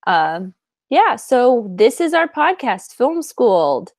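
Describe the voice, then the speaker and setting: A woman's voice: a little high, sing-song, a little mellow, no echo, studio quality. Chatty young woman in her twenties. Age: 20s. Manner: chatty, self-deprecating, quick to laugh. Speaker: podcast co-host. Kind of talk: casual chat.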